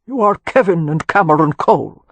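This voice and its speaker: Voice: mid-range voice, expressive, normal speed, a bit bright, dry recording. Man playing an imperious old Anglo-Irish earl. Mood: cool, imperious. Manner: aristocratic, graceful, dry, commanding. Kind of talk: audiobook character dialogue.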